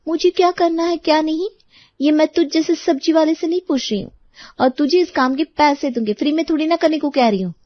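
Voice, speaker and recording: A woman's voice: high-pitched, brisk, no echo, lo-fi sound, voice pushed up, lightly acted. A woman voicing a haughty, boastful rich neighbour in an audio storybook, with a condescending, showy manner. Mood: bossy, contemptuous.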